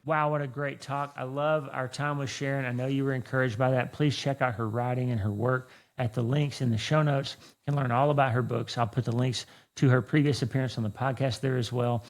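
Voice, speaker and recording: Guy hosting a podcast, lots of vocal fry, folksy and sincere, chatty style. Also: medium pitch. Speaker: podcast host. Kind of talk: conversational interview and podcast talk.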